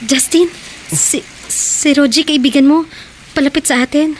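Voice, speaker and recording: Poor hopeful teen girl in radio soap, expressive youthful voice, bit high, faint noise behind. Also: a little slow. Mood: alarmed. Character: poor, hopeful teenage girl scavenging with boyfriend. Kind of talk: Tagalog radio drama acting.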